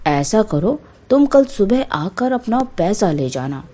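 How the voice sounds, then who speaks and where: A woman voicing an authoritative, deliberate village landlord, a grown man, in a storybook tale. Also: mid-range voice, sing-song, warm tone. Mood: pleased, grateful. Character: village landlord, commanding but grateful adult man.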